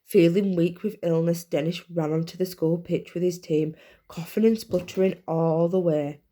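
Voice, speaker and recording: A woman's voice: on the low side, steady tone, moderate pace, warm tone. A woman reading a children's storybook aloud as narrator. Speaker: reader, teacher.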